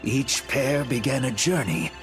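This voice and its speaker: Slow, solemn male narrator over music. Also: medium pitch, expressive. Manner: measured, dramatic storytelling. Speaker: game story narrator. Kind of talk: solemn scripted cutscene narration. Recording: music behind the voice. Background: background music.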